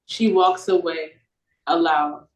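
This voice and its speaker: A woman's voice: lowish voice, steady tone, slow. A woman reading stage directions as scripted narration for an audio play. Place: echoey room, over a video call. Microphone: video call. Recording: roomy, echoing video-call audio.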